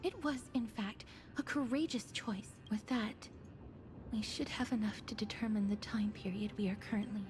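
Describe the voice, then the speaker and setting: A woman playing a composed, thoughtful young companion in a video game, speaking in a measured, earnest and articulate way. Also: mid-range voice, steady tone, darkish tone, faint music behind, close to an everyday voice. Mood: calm, thoughtful. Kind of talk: video game character dialogue.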